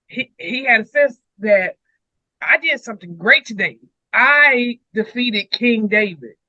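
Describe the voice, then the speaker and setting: A man's voice: extremely high pitch, lively intonation, measured pace, bright, forceful tone, no echo, highs cut off. A man talking casually through scripture as a co-host, emphatic and repeating phrases for weight. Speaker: co-host of a Bible read-along discussion.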